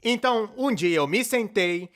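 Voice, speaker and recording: A man's voice: sits high, animated, normal speed, noisy background. A man with a Brazilian accent playing a chatty, playfully sarcastic cartoonist narrator in a scripted fan dub. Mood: chatty, enthusiastic. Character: chatty, excitable young male cartoonist narrator.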